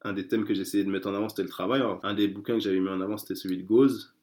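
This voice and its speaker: A man's voice: on the low side, fairly even intonation, rapid-fire, a little mellow. A man chatting casually as an interview guest, hesitant, with many fillers and restarts. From France.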